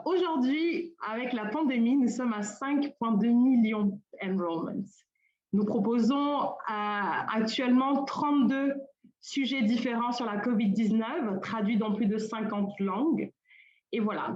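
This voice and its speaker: A young woman from Senegal speaks at a middle pitch in an informal panel talk, pausing often with fillers and restarting her phrases. Her voice comes over a video call that sounds roomy and dull. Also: darkish tone. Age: young adult. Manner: hesitant, with many fillers and restarts. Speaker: colloquium panelist.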